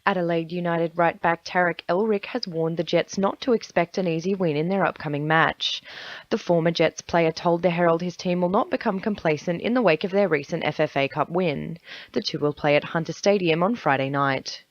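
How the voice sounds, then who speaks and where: Lowish-voiced Australian woman reading sport. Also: brisk, no echo, muffled recording. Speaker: sports news reader. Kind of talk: scripted sports bulletin reading.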